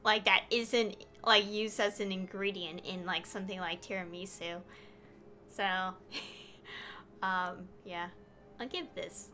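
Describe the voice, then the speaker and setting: A woman's voice: mid-range voice, fairly even intonation, slow, sharp tone, slight background noise. A woman streaming a game with casual, rambling, chatty, giggly commentary.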